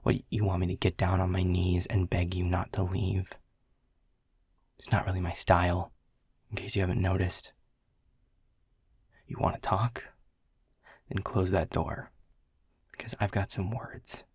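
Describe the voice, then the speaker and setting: A man voicing a gravelly, gruff middle-manager boss. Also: sits low, little pitch movement, a little slow, long pauses, a little mellow, dry recording, studio quality, exaggerated voice. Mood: irritated. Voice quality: gravelly, husky. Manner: blunt, sarcastic, swears a lot. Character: world-weary male middle manager, gruff and prickly. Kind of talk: second-person audio roleplay.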